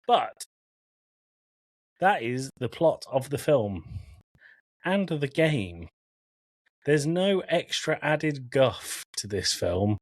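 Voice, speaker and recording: A man with a medium-pitched voice hosts an informal podcast. He talks casually, drops in joking asides and swears freely, and the recording is dry with very little room. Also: sing-song, very slow, long pauses, no room sound. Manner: jokey asides, profane. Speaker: podcast host. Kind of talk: informal film and game discussion, recapping from notes.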